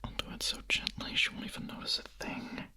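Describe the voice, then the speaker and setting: A man playing a hungry, nervous, lovestruck young vampire in an ASMR roleplay, speaking in a breathy, husky, hesitant whisper. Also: bright, forceful tone. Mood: furtive, yearning. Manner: hesitant, halting, confessional.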